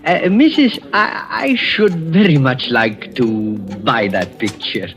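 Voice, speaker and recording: A smooth, overpolite foreign gentleman, full of flowery courtesy. His voice rises and falls in lively swings. He comes through an old radio recording with music underneath. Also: medium pitch, slow pace, full character voice. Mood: polite. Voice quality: ornate, overly polite manner. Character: smooth, oily older male foreign gentleman bribing. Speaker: radio actor. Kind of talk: 1940s radio acting.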